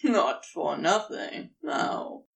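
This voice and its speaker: A woman's voice: on the low side, very animated, gravelly, distant mic, pitched below the performer's own voice, heavily acted. A woman voicing a giant male sea serpent in a storybook reading, sly, mocking and taunting without hurry. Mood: smug, teasing. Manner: taunting, unhurried.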